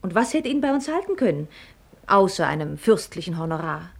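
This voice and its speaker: Radio drama: proud, pert rich daughter, young, articulate and mocking, highly varied melody. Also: female voice, big pitch swings, fairly quick, gravelly, breathy edge, full character voice. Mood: ironic, candid. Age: young adult. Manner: articulate, mocking, ornate phrasing. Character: pert, sharp-tongued young heiress, witty and proud. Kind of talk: German radio play acting.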